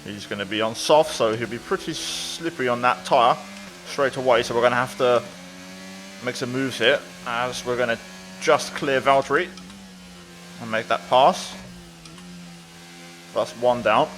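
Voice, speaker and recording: A man's voice: mid-range voice, a little slow, pauses often, a bit bright, a little hiss or hum. A man giving casual, upbeat gameplay commentary as a video creator.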